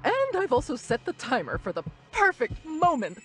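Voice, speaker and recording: A woman playing a nasty older female teacher in a cartoonish game cutscene, theatrical and exaggerated, turning shrill when excited. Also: heavily acted. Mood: smug, pleased. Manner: exaggerated, slow, melodramatic. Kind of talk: animated game cutscene acting.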